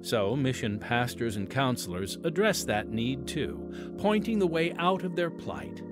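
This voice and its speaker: A man's voice: medium pitch, big pitch swings, a little slow, music in the background. An American man hosting a radio drama with scripted, earnest narration. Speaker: host and announcer.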